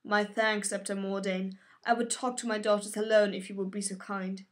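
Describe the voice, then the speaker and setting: A woman voicing a weary, stern but gentle noble father in an audiobook, speaking in a measured, grave way. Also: mid-range voice, level tone, natural voice. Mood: kindly.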